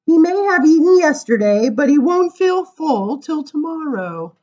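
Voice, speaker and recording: A woman reading poems aloud to children as a storytime librarian, with animated, sing-song emphasis and casual asides. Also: sits high, unhurried, highs cut off, soft background music.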